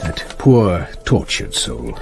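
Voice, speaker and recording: A grave, gentle parish priest with a British accent. His voice is very deep and moves expressively, courteous yet firm, as heard in a radio play. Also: sing-song, slow pace, vocal fry, over music, exaggerated voice. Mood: solemn, regretful. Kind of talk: British radio drama acting. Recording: noisy radio drama with ambience.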